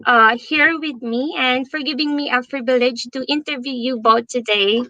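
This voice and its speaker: A woman's voice: high voice, steady tone, moderate pace, sharp tone, no room sound, muffled recording. A woman hosting a livestream interview, upbeat and effusive, with many fillers.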